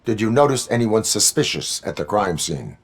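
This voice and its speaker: Game casting: low-voiced cyborg lawman, stoic, formal, clipped and deliberate delivery. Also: sing-song. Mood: calm, flat. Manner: deliberate, clipped, formal speech without contractions. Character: stoic male cyborg police officer, slow and formal. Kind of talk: video game voice acting.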